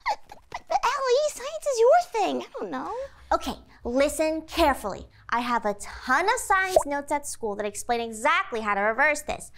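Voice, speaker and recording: An excitable schoolgirl in a kids' comedy show. The voice is very high and swoops wildly through gushing, theatrical lines. There is faint noise in the recording. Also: moderate pace. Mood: worried, urgent. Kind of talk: exaggerated kids' comedy acting.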